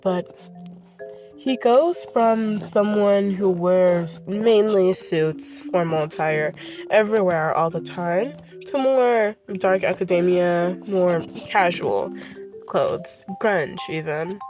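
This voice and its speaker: Brief: woman, congested and sniffly from illness, low-ish voice, loose off-script chatter with self-corrections. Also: slow pace, music in the background. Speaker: creator presenting her characters. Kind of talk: casual rambling vlog commentary.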